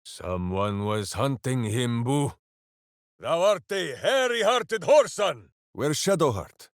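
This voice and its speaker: An actor playing a big-hearted, simple-minded berserker ranger in a video game, declaiming grandly and earnestly. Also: male voice, mid-range voice, very animated, takes it slowly, dry recording, clean studio sound. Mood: bombastic. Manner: grandiose third-person declamation, earnest and theatrical. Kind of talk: video game character voice lines.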